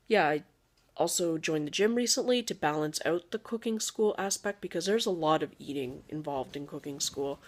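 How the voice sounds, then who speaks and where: A young woman, not a native English speaker, chatting casually to camera as a parenting vlogger, rambling with fillers and asides. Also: low-pitched.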